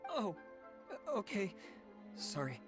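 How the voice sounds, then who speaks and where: A man's voice: sits high, big pitch swings, slow, music in the background, heavily acted. A man playing a timid, pedantic young civil servant in an anime game, speaking hesitantly and over-precisely. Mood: sheepish.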